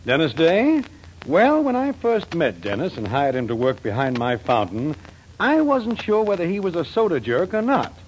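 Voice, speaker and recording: Dry, swooping drugstore boss. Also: male voice, fairly high pitch, very animated, moderate pace. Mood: dry mockery. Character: dryly puzzled male drugstore owner, the boss. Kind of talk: 1940s radio comedy acting. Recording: faintly noisy 1940s radio recording.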